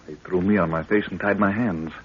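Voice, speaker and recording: A man's voice: sits low, steady tone, brisk, lightly acted. A man playing an earnest, respectful, anxious young assistant in a 1930s radio adventure. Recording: muffled, noisy old 1930s radio recording.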